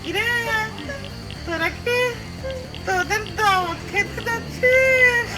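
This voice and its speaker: An actor voicing a threatening ghost robber with drawn-out demands in a Bengali cartoon. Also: very high-pitched, low-quality recording. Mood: menacing. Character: menacing sword-wielding ghost robber who turns weepy. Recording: loud music under the voice.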